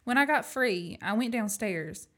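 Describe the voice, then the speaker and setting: A woman's voice: on the high side, lively intonation, measured pace, fairly bright tone. Woman playing a plucky, frank young woman. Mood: calm, matter-of-fact. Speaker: student actor. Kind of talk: amateur radio play acting.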